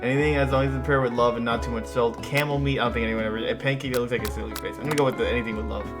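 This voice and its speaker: A man's voice: mid-range voice, fairly even intonation, rapid-fire. A man co-hosting a game playthrough with casual, joking, slangy banter. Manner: slangy, goofy. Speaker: gaming video co-host making choices. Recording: music behind.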